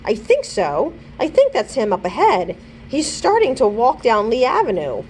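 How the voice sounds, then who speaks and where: A woman's voice: on the high side, huge pitch swings, moderate pace, darkish tone, a little hiss or hum, poor audio. A woman playing a bold, urgent girl detective. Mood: urgent, determined.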